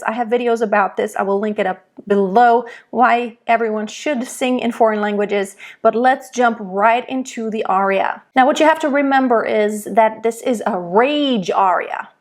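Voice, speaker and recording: A woman from Germany, a vocal coach explaining diction in a slow, deliberate lesson. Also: a little high.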